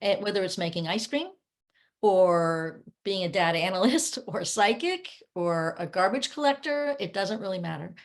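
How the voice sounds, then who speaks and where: Woman, guest coach, mid pitch. Earnest, reflective explainer in a conversational online interview. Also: animated, moderate pace. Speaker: guest, coach working with clients. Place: remote video call.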